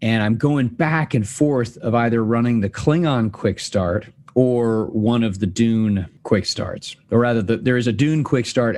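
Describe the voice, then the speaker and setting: A man hosts a podcast in easy, casual chat, his voice on the low side. He rambles, stopping to restart his sentences and dropping in fillers along the way. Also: a little mellow. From United States. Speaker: podcast host.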